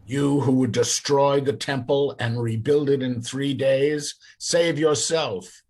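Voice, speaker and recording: A man at a church reading voicing scornful grown men who taunt a condemned man, in a low, taunting delivery. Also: steady tone, slow, darkish tone. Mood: mocking. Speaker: church reader. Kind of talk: congregational dramatic Bible reading. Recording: voice from away from the mic.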